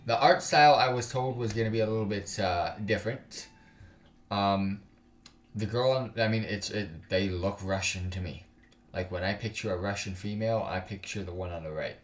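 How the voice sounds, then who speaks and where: A man doing casual let's play commentary and reading game text aloud in a halting way, with exasperated, slangy, sweary asides. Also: on the low side. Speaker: gaming YouTuber, let's play host. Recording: roomy sound with music underneath.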